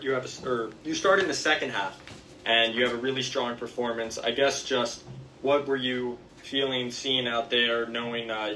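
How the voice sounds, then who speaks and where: Halting man reporter, off-mic. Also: medium pitch, sharp tone, far from the mic, a little hiss or hum, rough recording.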